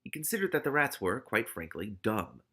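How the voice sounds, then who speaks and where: A man hosts a read-aloud, narrating a novel and breaking in with his own comments. His voice is extremely bright and forceful. He is expressive and exclamatory, with wide, lively movement in pitch. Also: fairly quick, breathy edge.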